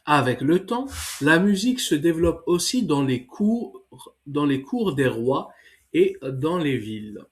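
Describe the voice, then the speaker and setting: Slow-reading teacher with a cold. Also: male voice, medium pitch. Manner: slow, careful reading with hesitant fillers. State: has a cold. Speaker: French teacher. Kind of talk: reading a French text aloud for learners, then explaining exercises in English.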